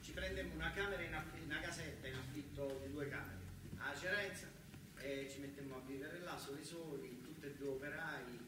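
An actor playing an earnest factory worker and young father, telling his life in a colloquial, halting theatrical monologue with a southern Italian accent. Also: fairly high pitch, very echoey, recorded from a distance, background noise, natural voice. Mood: weary, bitter. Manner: colloquial, halting, repeating words for emphasis.

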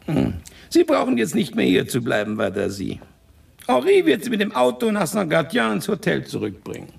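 An actor playing a knowing, self-satisfied police investigator in a German radio drama, speaking deliberately in a husky, gravelly voice. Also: male voice, high-pitched, huge pitch swings, recorded from a distance, full character voice. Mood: amused. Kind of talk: German radio play dialogue.

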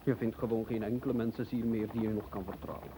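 A man's voice: lowish voice, flat delivery, quick pace, dark tone, slight background noise, lightly acted. Man acting a plaintive, gloomy, wordy musician. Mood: indignant, wounded. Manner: theatrical, verbose, plaintive complaining. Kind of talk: absurd comic radio play acting.

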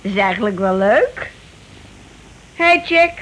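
An uneasy wife in a radio play, naive yet stubborn. Her voice is bright and sharp, and she answers in short, hesitant questions. The old recording carries slight noise. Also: mid-range voice, expressive, unhurried, low-quality recording. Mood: curious, naive.

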